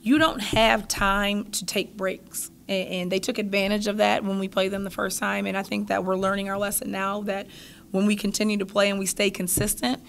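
A woman's voice: medium pitch, little pitch movement, normal speed, gravelly, a little hiss or hum. A woman in her thirties, a basketball coach, answering post-game questions at a press table mic in a thoughtful way, often repeating words as she starts a phrase. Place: press room in front of a logo backdrop. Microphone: table mic in front of her.

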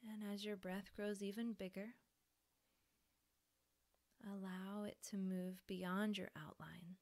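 A woman softly guiding a yoga relaxation meditation in a breathy, soothing manner with pauses. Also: mid-range voice, fairly even intonation. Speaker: yoga nidra teacher.

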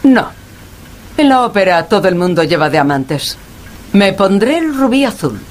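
An actress playing a haughty, demanding countess in a radio drama, curt, formal and commanding. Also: female voice, mid-range voice, very animated, measured pace, a little hiss or hum. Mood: scolding. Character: haughty, imperious aristocratic countess, demanding and indignant. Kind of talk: Spanish-language radio drama dialogue.

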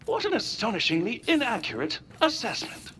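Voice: medium pitch, wildly swooping pitch, brisk, sharp tone, slight background noise. An actor voicing an earnest, philosophical robot companion in a video game, with formal and precise phrasing. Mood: matter-of-fact.

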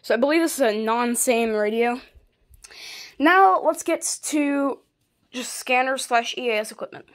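A hobbyist showing off a collection in a casual, halting, offhand way, filmed on a handheld device in a bedroom. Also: medium pitch, fairly bright tone. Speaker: hobbyist video maker. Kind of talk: casual show-and-tell of a collection. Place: small carpeted bedroom, indoors. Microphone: handheld phone or camera, moving around.

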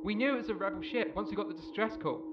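An actor playing a zealous enemy pilot over a radio in a sci-fi audio drama. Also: male voice, high-pitched, rapid-fire, muffled recording, very noisy. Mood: defiant, zealous. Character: male enemy pilot, pleading then zealous loyalist.

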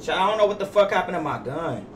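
A man's voice: sits high, expressive, quick pace, reverberant, far from the mic, a little hiss or hum. A young American man streaming a roleplay game on a headset, talking in casual, slangy, sweary street talk. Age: young adult. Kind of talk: gaming livestream roleplay, casual slangy chat. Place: indoor room at gaming chair.

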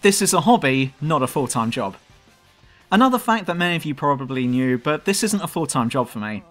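Lively southern English man, fairly high. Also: a little fast, faint music behind. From southern England. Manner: dry, self-mocking. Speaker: YouTube gaming channel host. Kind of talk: scripted commentary over gameplay.

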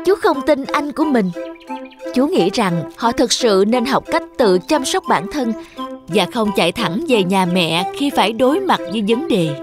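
A woman's voice: a little high, very animated, normal speed. A woman narrating a scripted children's story as a storyteller. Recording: children's music behind narration. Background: jingly children's music.

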